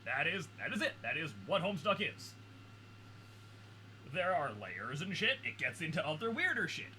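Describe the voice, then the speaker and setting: A man's voice: fairly high pitch, very animated, moderate pace, pushed and bright, a little hiss or hum, poor audio. A man chatting casually as a guest reactor, explaining things as a fan. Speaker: guest reactor who knows the franchise.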